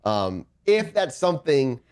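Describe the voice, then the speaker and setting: Man, game master, casual table chat, improvised narration, very animated intonation, mid-pitched. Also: unhurried, clean tone.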